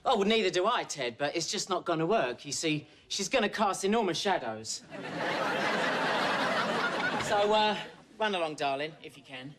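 A man's voice: high voice, animated, normal speed, long pauses, noisy background. An actor playing a flamboyant young male photographer who fawns over his subject and calls everyone darling. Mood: teasing. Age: young adult. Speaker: magazine photographer. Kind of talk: sitcom acting.

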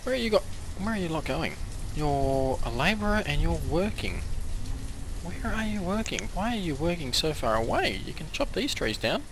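A man giving casual commentary while playing a strategy game, hoarse and coughing now and then as his voice wears out, chatting to his villagers as he goes. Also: a little high, sing-song, moderate pace, background noise. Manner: chatty, talks to the game's villagers. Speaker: gameplay commentator. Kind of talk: casual let's-play commentary.

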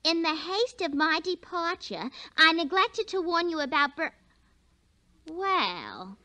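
An actress playing a breezy, scatterbrained secretary who mangles fancy words in a 1940s radio comedy-drama. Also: female voice, extremely high pitch, very animated, slow pace, bright, forceful tone, dry recording, exaggerated voice. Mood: flustered, chatty. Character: chatty, scatterbrained female temp secretary, much-married, breezy. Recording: 1940s radio broadcast recording.

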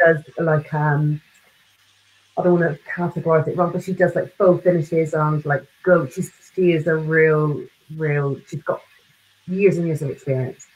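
A woman's voice: sits low, steady tone, normal speed, darkish tone, rough recording. A woman hosting an interview over a video call, talking in a hesitant way with likes and ums. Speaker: interviewer, host. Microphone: webcam on video call.

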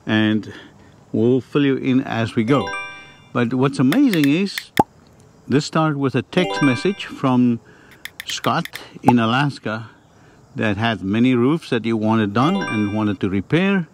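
A man in his sixties chatting casually as host of a travel vlog, in a halting way that repeats phrases. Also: medium pitch, lively intonation, music in the background. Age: 60s. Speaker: vlog host, roofing contractor. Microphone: clip-on lapel mic. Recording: noisy on-location vlog audio.

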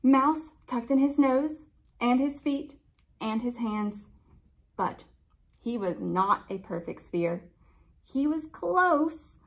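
A young adult woman reading a children's picture book aloud with expressive, drawn-out emphasis, pausing to put questions to young listeners. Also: sits high. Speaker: library storytime reader.

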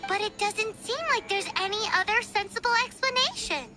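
A woman playing a perky, excitable young girl in an anime-style video game. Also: very high voice, fairly quick. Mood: thoughtful. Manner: perky, exclamatory. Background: game music.

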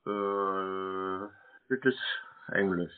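A man's voice: low voice, flat delivery, very slow, clear, resonant tone. A man chatting casually on the phone in a laconic, plain-spoken way, over a narrow telephone line. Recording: narrow-band telephone call.

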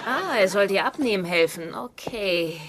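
A female actor plays an impatient, sharp-tongued sitcom wife. She speaks in a mid-pitched voice with brisk, dry sarcasm, over clear background noise. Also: animated, natural voice. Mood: exasperated, sarcastic. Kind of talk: dubbed sitcom acting.

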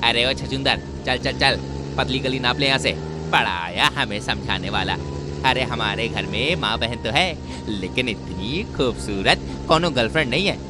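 A man's voice: medium pitch, lively intonation, moderate pace, close to an everyday voice. A man voicing a leering young bully in a cartoon, crude and taunting. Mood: taunting, threatening. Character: leering, bullying young male thug on bus. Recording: music behind.

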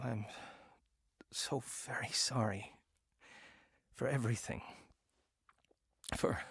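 A man's voice: mid-range voice, darkish tone, husky, dry recording, clean studio sound. An actor playing a proud, remorseful husband and father who tells his own story in an audio drama. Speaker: lead actor and first-person narrator. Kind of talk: dramatised testimony radio drama.

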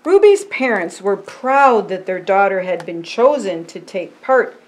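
Unhurried older woman reading a storybook. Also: mid-range voice, big pitch swings, poor audio. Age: 60s. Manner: deliberate, simple phrasing, explaining as to a child. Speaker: pastor and storytime reader. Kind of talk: reading a picture book aloud with asides to a child. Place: indoor storytime set by a fireplace.

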